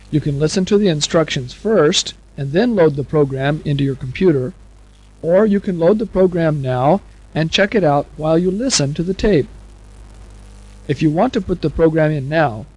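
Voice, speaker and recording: Casting: man for instructional narration, soft-edged husky voice with a mellow color, informal and chatty, talking straight to the listener. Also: a little high. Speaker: instructor on a software instruction tape. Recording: muffled 1970s cassette tape recording.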